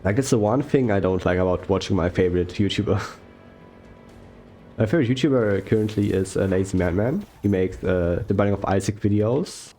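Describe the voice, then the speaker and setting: Young male gaming commentator, mellow tone, slow soft-spoken rambling delivery. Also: on the low side, soft background music. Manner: rambling with fillers and self-corrections. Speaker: gaming YouTuber. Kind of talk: relaxed gameplay commentary.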